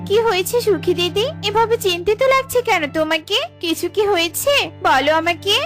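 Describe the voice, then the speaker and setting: A woman's voice: very high-pitched, moderate pace, fairly bright tone. A woman voicing a small, anxious, caring female bird in a children's cartoon, earnest and pleading. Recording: music playing behind the voice.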